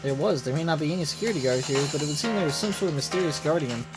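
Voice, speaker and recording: A man's voice: on the high side, lively intonation, racing, lightly acted. A man voicing an earnest, cautious companion in a video game, speaking formally, with music behind. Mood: earnest, wary. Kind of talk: reading game story dialogue aloud.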